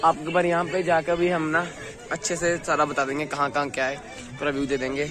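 A hoarse young man vlogging excitedly to a phone held at arm's length, outdoors at night and in a busy eatery. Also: a little high, fairly quick, over music. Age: young adult. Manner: chatty, excited, talks straight to the viewer. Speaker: travel vlogger. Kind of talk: casual vlog to camera. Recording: crowd noise in the background.

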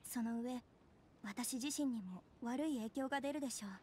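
An actress playing a polite, gentle young noblewoman in a video game, speaking formally and humbly. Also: fairly high pitch, normal speed, slight background noise, close to an everyday voice. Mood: troubled, hesitant. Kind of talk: Japanese video game voice acting. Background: background music.

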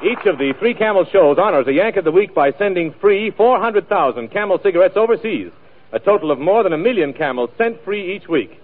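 A man's voice: sits high, animated, a little fast, a little hiss or hum. A man announcing in the style of 1940s radio. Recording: muffled vintage radio broadcast.

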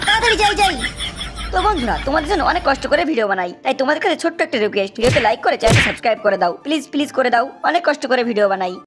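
A woman's voice: sits high, expressive, very fast, few pauses, heavy background noise. A woman narrating for a cartoon channel, making scripted appeals to viewers.

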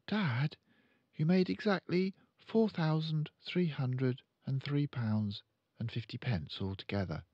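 A man voicing a clever little girl in a storybook, gentle, quiet and matter-of-fact. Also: medium pitch, slow pace, no echo, muffled recording, pitched above the performer's own voice. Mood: calm, gentle. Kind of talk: storybook read-aloud character voice.

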